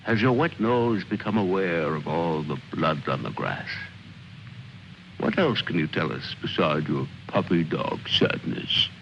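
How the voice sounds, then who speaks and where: An actor playing a brooding, world-weary general who muses slowly and morbidly, in an old film drama. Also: male voice, sits low, expressive, gravelly, slight background noise, full character voice. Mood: taunting, musing. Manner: slow, solemn, theatrical musing. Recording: dull old film soundtrack, loud music.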